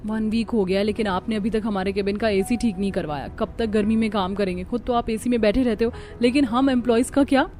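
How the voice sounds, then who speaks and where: A woman's voice: mid-range voice, fairly even intonation, fast, hardly any pauses, a little mellow, slight background noise, natural voice. A woman voicing a fussy young daughter-in-law who complains indignantly in a Hindi cartoon story.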